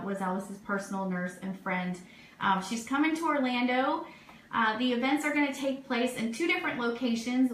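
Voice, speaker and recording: A woman radio host chatting enthusiastically and informally as she runs through upbeat event announcements. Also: on the high side. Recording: roomy echo, steady background noise.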